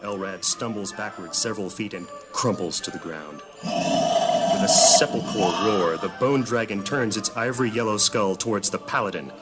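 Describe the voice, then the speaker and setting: Man as a low-voiced, grave fantasy narrator. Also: moderate pace, loud music behind the voice, lightly acted, rough recording. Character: grave storyteller narrating an epic battle. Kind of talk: dramatic scripted fantasy narration.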